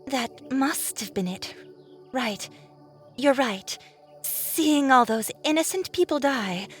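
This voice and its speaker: A woman voicing a confused, wavering female Naga warrior in a halting, hesitant manner for an audiobook. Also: sits high, expressive, soft background music. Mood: confused, agitated. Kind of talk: audiobook character acting.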